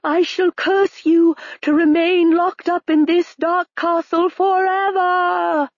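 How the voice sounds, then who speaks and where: A woman voicing a wicked, vengeful sorceress queen who wails her curse in drawn-out words. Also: very high-pitched, flat delivery, no echo, pitched above the performer's own voice, full character voice. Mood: wailing, vengeful. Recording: slightly dull, dry recording.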